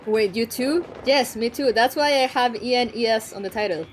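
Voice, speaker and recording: A woman's voice: fairly high pitch, normal speed, faint music behind. A young woman whose first language is Spanish, speaking English with a Spanish accent as she chats casually with her stream viewers, rambling and laughing often. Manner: giggly and rambling, often laughing between lines.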